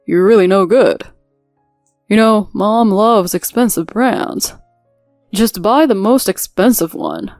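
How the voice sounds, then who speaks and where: A woman's voice: mid-range voice, sing-song, takes it slowly, a little mellow, dry recording. Woman doing a naggy bossy husband, scolding, story dialogue, dry sound, bit of music behind. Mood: nagging. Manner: scolding, accusatory. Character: demanding, whiny husband siding with his mother.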